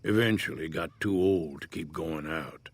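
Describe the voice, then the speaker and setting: An actor playing an easygoing older American prospector in a Western-style video game, folksy and laconic in manner. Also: male voice, rumbling low, normal speed, breathy edge, full character voice. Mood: matter-of-fact. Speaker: game character, townsfolk prospector. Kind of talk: video game character dialogue.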